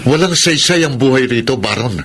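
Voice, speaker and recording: An actor with a Filipino accent playing a brooding young prisoner in a radio drama, speaking earnestly and with emotion. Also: male voice, mid-range voice, lively intonation, fairly quick, fairly bright tone, lightly acted. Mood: bitter, resigned. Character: Edison, brooding young male prisoner and gifted photographer. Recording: noisy radio drama broadcast.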